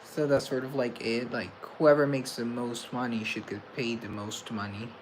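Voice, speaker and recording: A man's voice: medium pitch, little pitch movement, normal speed, slight background noise, low-quality recording. A man chatting casually on a livestream, reading comments aloud and answering them with self-mocking humor. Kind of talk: casual live chat.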